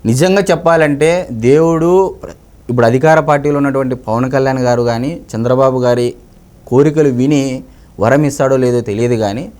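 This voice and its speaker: Guy getting interviewed on politics, normal pitch, talks emphatically and repeats himself to make the point. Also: brisk, darkish tone. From Andhra Pradesh, India. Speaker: interviewee, member of the public giving political opinions. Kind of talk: conversational political commentary.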